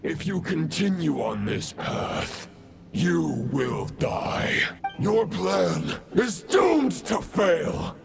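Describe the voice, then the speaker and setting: An actor playing a proud, menacing fallen knight in a video game, speaking in formal, archaic, pompous phrases. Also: male voice, fairly high pitch, big pitch swings, very slow, vocal fry, full character voice. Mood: stern, shouting. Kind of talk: dramatic video game acting. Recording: loud music behind the voice.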